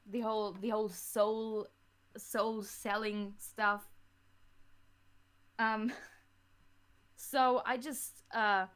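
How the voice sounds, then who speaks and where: A woman chatting casually as a podcast guest about their writing, halting and giggly as they go. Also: mid-range voice, a bit bright. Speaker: guest writer of a fan audio drama.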